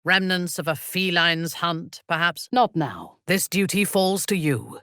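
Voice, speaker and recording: An actress playing an imperious, ruthless dark elf warrior priestess in a video game, with a haughty, commanding manner and formal, measured phrasing. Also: female voice, fairly low pitch, dry recording, clean studio sound. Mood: cold, measured. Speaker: video game companion character. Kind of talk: dramatic video game voice acting.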